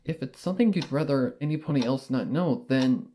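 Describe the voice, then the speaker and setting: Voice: medium pitch, fairly quick, mellow, lightly acted. A reader voicing a caring female pony who speaks in a light, encouraging tone. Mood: gentle.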